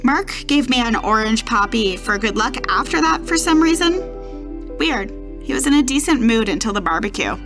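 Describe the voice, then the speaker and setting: A woman playing a gentle young camp counselor, recounting her day plainly and casually in a diary-like horror podcast monologue. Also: fairly high pitch, animated, normal speed, over music. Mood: fond, puzzled.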